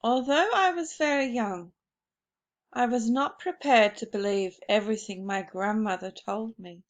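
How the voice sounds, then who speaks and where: A woman's voice: fairly high pitch, unhurried. A woman reading a children's novel aloud, carefully, with a few slips and restarts. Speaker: reader.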